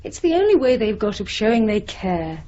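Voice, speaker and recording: An actress playing a forthright, exasperated grown daughter in a radio drama, with a British accent and a blunt, impatient manner. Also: female voice, mid-range voice, sing-song, moderate pace, dark tone, lo-fi sound. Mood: exasperated, scolding. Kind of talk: naturalistic radio drama acting.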